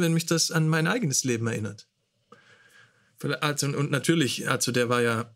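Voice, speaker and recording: A man with a slightly higher-than-average voice gives an informal lecture. He speaks reflectively, thinking aloud and reworking his phrases as he explains his own views. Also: a bit bright. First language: German. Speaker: host teaching songwriting.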